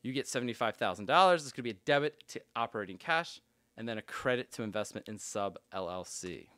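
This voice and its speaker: A man's voice: mid-range voice, animated, fast, fairly bright tone, dry recording. A man giving an explanatory tutorial as an instructor, talking informally through worked examples step by step. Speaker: accounting instructor.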